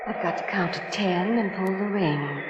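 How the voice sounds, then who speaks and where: An actress as a young wife, earnest and intense, in 1950s radio acting style. Her voice sits at an ordinary pitch in an echoey space, under very heavy noise. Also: normal speed, lightly acted. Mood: urgent, excited. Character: troubled young wife, earnest, turning desperate. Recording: noisy, echoey, dull old radio recording.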